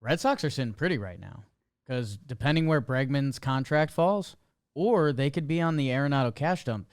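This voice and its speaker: Chill podcast cohost guy, smooth clear voice, dry close mic. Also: medium pitch, lively intonation, dry recording. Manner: laid-back, quick agreeing replies. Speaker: podcast co-host. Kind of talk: casual sports chat.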